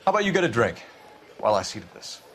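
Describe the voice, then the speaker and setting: An actor playing an earnest, upright police detective in a 1940s noir game, measured and pressing. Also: male voice, medium pitch, very animated, fairly bright tone. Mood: calm.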